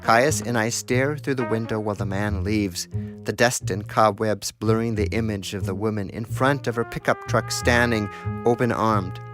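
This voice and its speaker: A man's voice: lowish voice, steady tone, normal speed, music in the background. A man reading scripted narration for a radio documentary feature.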